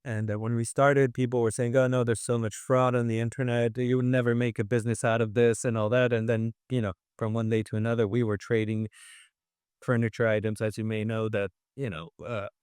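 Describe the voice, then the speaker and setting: A man who grew up in France, with French as his first language, talking casually as a guest about his business, measured and full of fillers. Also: medium pitch, flat delivery, darkish tone, clean tone, dry recording, studio quality. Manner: measured, many fillers and restarts. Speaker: guest, restaurant owner. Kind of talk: casual interview chat.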